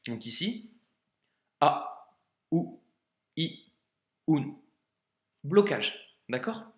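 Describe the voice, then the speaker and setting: A man's voice: fairly high pitch, big pitch swings, extremely slow, roomy sound. A French man teaching how to read Arabic, talking casually and reassuringly straight to the learner. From France. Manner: casual, reassuring, frequent "hein" and "d'accord". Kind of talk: friendly tutorial speaking directly to the learner.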